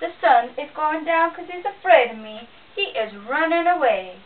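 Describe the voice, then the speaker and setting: A woman voicing a boastful big male bear who brags loudly and slowly. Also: expressive. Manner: booming, slow, bragging. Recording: tinny lo-fi recording from a distance.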